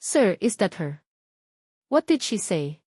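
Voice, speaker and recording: A woman's voice: mid-range voice, sing-song, slow, a little mellow, husky, no room sound, clean studio sound, heavily acted. A woman narrator voicing a practical, deferential female assistant to a wealthy boss in an audio romance story. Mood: curious.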